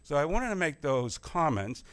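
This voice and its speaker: A man's voice: a little high, moderate pace, clean tone. A man, a history professor, giving a plain-spoken public lecture at a church forum on a microphone. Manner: plain-spoken, self-deprecating opening, steady explanatory delivery. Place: church meeting room. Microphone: handheld or stand microphone.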